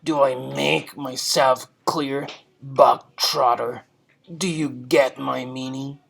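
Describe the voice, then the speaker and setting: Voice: medium pitch, fairly even intonation, takes it slowly, vocal fry. A reader voicing a furious, bullying older headmistress from a children's storybook, with a harsh, commanding, menacing delivery. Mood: furious, yelling. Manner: commanding, menacing, shouted emphasis.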